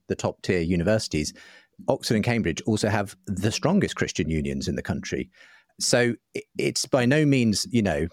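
A man's voice: low-pitched, no echo, studio quality. A British man answering interview questions in a thoughtful, articulate, conversational way, often hedging as he goes. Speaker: guest, writer and broadcaster.

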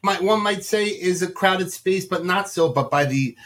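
A man's voice: high-pitched, moderate pace, a bit bright. A man hosting a casual interview, asking chatty, rambling questions with some emphasis. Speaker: podcast host, interviewer.

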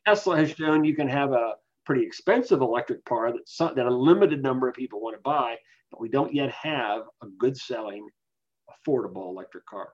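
A man with a mid-range voice speaks as an expert interview guest. He explains things in a measured, analytical manner, and the recording is somewhat dull and lo-fi. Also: mid-range voice, lively intonation. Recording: dull, lo-fi voice capture.

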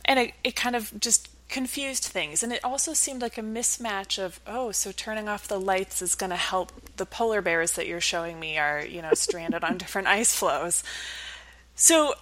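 A woman's voice: medium pitch, normal speed, fairly bright tone, poor audio. A woman speaking as an academic researcher in an interview, explaining conversationally with everyday examples.

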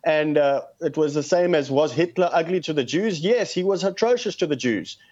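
A man's voice: a little high, expressive, normal speed, a little mellow. A man with a South African accent, speaking earnestly and passionately as a guest on a call-in show. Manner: earnest, impassioned. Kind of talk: phone-in interview. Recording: muffled lo-fi phone line.

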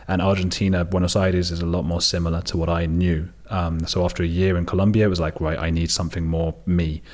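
An English man in his thirties, a guest in a relaxed conversation, chatting and joking about himself. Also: sits low, fast talker. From England. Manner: chatty, joking, self-deprecating. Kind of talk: casual conversation.

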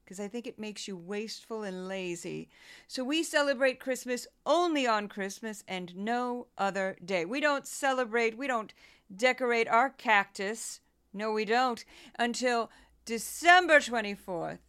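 A smug female politician, acted by a woman in a satirical radio play, with a clean, smooth voice. She is deluded and utterly self-assured, pressing every claim with insistent emphasis. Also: fairly high pitch, a little slow, a bit bright.

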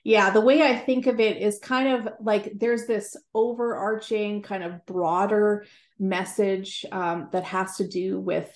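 Canadian lady in her 40s, steady mid voice, kinda roomy echo, earnest chatty guest. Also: mid-range voice, unhurried. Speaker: guest writer and Bible teacher. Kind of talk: interview conversation.

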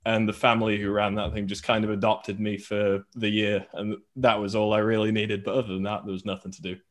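A man with a fairly low voice. He co-hosts a podcast and talks in a loose, slangy, laddish style, as if among mates. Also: level tone, brisk. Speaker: podcast co-host. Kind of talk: casual chat.

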